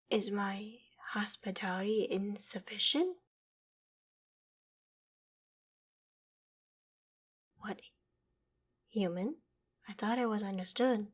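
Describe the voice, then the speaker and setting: Casting: a woman as an imperious, vain dragon in human guise, mid-pitched, slow and stately, formal wording, ASMR audio drama. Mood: puzzled, concerned. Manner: formal, haughty, theatrical phrasing. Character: proud, vain ancient female dragon in human form.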